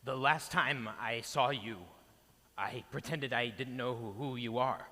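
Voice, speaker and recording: A man acts out a bereft, bewildered male disciple in a stage monologue. His voice is bright and husky, stammering through broken phrases with grief and guilt. It rings in a long, echoing reverb. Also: medium pitch, unhurried. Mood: dazed, halting. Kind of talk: theatrical Good Friday dramatic monologue.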